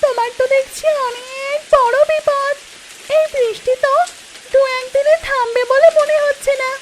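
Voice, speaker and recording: A performer voicing a kind, sympathetic mother duck in a cartoon story, gentle and caring. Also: extremely high pitch, steady tone, moderate pace, crowd noise, low-quality recording. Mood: concerned.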